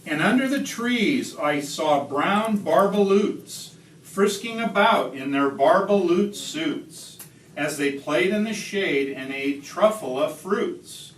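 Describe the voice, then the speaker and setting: A man reading a rhyming picture book aloud to children, expressively, with deliberate, dramatic pauses. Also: a little high, expressive. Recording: roomy, distant home video with slight noise.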